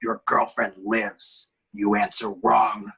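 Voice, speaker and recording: A taunting killer on the phone from a horror film script, read by a man. The voice is husky and breathy, drawing out threats slowly and dropping into a cold, menacing whisper. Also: fairly low pitch, level tone, bright, forceful tone, heavily acted. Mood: coldly taunting. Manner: slow, breathy, teasing delivery with whispered threats.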